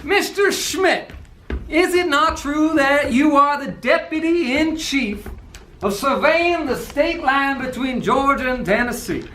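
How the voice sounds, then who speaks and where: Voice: high-pitched, slow, a bit bright, roomy sound, slight background noise. Someone playing a smug pedantic courtroom lawyer. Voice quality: pedantic, theatrical. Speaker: defense lawyer Mister Grayson. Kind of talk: amateur radio play reading.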